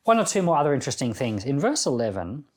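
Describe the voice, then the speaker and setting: A man's voice: medium pitch, very animated, quick pace. Conversational male pastor teaching. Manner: conversational, reflective, storytelling. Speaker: pastor giving Bible commentary and prayer. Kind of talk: informal sermon, Bible teaching.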